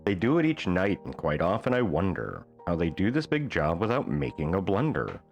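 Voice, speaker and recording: A man reading a rhyming children's bedtime book aloud as an expressive storyteller. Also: deep voice, normal speed, vocal fry, dull audio, faint music behind.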